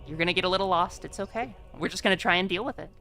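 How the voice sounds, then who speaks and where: A woman's voice: lowish voice, very fast, pushed and bright, soft background music. A woman casually explaining things as game master of a tabletop game, often checking that players follow. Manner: frequent check-in tags.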